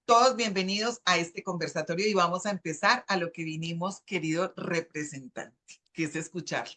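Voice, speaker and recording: A woman's voice: on the low side, moderate pace, pushed and bright. A middle-aged Colombian woman hosting a conversation, hesitant and searching for her words. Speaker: host.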